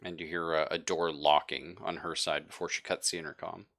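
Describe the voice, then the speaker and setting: A man improvising narration as game master of a tabletop game, telling it haltingly and with suspense. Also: deep voice, flat delivery, a bit bright.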